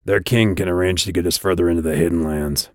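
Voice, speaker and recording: A man voicing a terse, unflappable male guide in a fantasy audiobook, speaking in a low-key, deliberate way. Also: fairly even intonation, no echo, studio quality, natural voice. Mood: dry.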